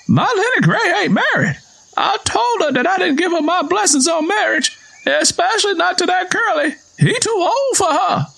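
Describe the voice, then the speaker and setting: A man's voice: very high-pitched, very animated, normal speed, creaky, sharp tone, pitched above the performer's own voice, full character voice. A man voicing a stern, stubborn old Southern father in an audio story, speaking bluntly and insistently. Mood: indignant. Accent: American South. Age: older.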